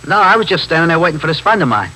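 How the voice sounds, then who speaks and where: A cocky, irritable small-time pusher in an old radio play. He talks extremely fast in a slightly high, sharp voice. His manner is slangy, defensive and put-upon. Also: animated, slight background noise, low-quality recording. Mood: irritated, cocky. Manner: slangy, evasive, put-upon back talk. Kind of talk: 1950s radio drama acting.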